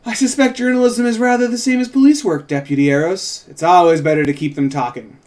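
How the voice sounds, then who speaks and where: A man's voice: high voice, fairly even intonation, fast talker, a little mellow, lightly acted. A man voicing a courteous, inquisitive visiting woman journalist in a polished, probing manner at a script reading.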